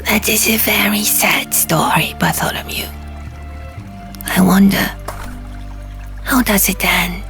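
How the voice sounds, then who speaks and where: A woman's voice: mid-range voice, fairly even intonation, slow, long pauses, a bit bright. A woman voicing a male stone gargoyle in an audiobook, speaking in a solemn, declamatory and melancholy manner. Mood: gentle, sad. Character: stone gargoyle, male, plain-spoken, sad, theatrically melodramatic. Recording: music behind the voice.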